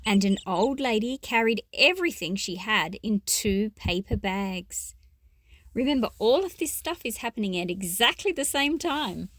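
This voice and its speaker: A woman's voice: medium pitch, expressive, normal speed, a bit bright, dry recording. A woman reading a children's picture book aloud expressively, with explanatory asides to young listeners.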